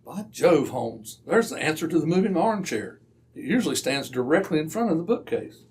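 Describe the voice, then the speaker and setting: A man playing an earnest, slightly hesitant gentleman sidekick to a detective in an old-time radio drama, given to old-fashioned exclamations. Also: on the high side, big pitch swings, normal speed, a little hiss or hum. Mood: astonished.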